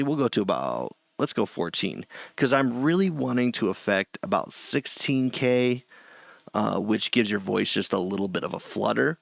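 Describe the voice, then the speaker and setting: A middle-aged man with a low voice gives a loose, chatty screen-share tutorial from a small home room, often restarting his sentences. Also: gravelly, a bit bright, no room sound. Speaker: streamer teaching audio setup.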